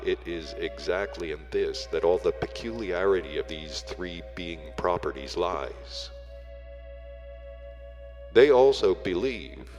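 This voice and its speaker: A man reading a book aloud as solemn, scripted narration, in measured, deliberate phrases with weighty breaks. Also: low-pitched. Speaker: audiobook reader. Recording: long reverb, music behind the voice. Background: music.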